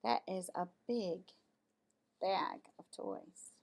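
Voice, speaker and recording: A woman reading a children's picture book aloud, pausing for casual asides and questions to young listeners. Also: lowish voice, animated, a little mellow, slight background noise. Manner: pauses to comment on pictures and ask listeners questions.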